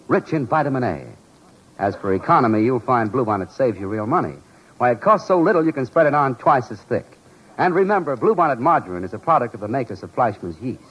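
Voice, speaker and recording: Animated, slightly husky 1940s radio pitchman. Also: muffled recording. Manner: polished, persuasive salesman delivery. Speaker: radio announcer reading a sponsor spot.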